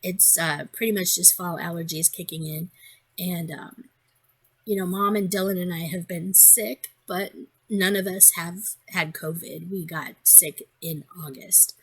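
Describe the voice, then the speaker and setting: A woman with a hoarse, congested voice, chatting casually in a crafting vlog, rambling with many ums and restarts. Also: lowish voice, steady tone, a little slow. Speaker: crafting vlogger.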